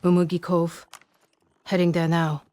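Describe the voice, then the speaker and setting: A woman's voice: lowish voice, flat delivery, unhurried, mellow, dry recording, natural voice. An actress playing a cocky, unrepentant female renegade archer, cool and blunt, in a dramatic video game scene. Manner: cool, blunt, self-assured. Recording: faint outdoor game ambience.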